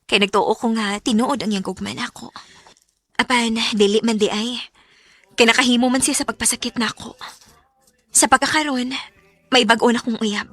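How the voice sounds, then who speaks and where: An actress with a Visayan Filipino accent playing an emotional, trusting young wife working abroad in a radio drama. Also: female voice, on the high side, fairly even intonation, normal speed, pauses often, fairly bright tone. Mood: sad, reflective. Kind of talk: Visayan radio drama acting with first-person narration.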